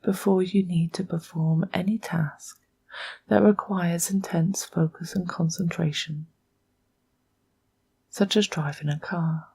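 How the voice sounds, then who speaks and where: A woman with a soft, breathy, whispery voice narrates a guided meditation in a hushed, measured manner, leaving long pauses between short phrases. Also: fairly low pitch, steady tone, low-quality recording.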